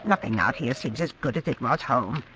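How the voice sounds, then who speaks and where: An actress playing a fretful, strong-willed elderly aunt in an old radio drama, with a querulous, grumbling manner. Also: female voice, very deep voice, expressive, normal speed, a bit bright. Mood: firm, fretful. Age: older. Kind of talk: 1940s radio acting. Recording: noisy old radio recording.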